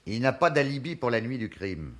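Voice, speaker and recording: An actor playing a dogged, touchy police detective in a French radio play. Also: male voice, medium pitch, big pitch swings, fairly quick, fairly bright tone. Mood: insistent.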